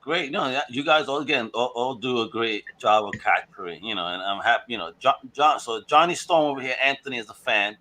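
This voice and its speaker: A man's voice: mid-range voice, expressive, brisk, hardly any pauses, pushed and bright. A man hosting a casual podcast interview, joking and teasing his guests and laughing often. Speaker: podcast host.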